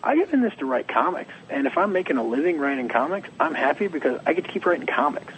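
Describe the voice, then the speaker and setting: A young adult man, a comics writer being interviewed, slightly hoarse, talking in a rambling, animated way and acting out quotes. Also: on the high side, quick pace, a little hiss or hum, rough recording. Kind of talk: casual interview.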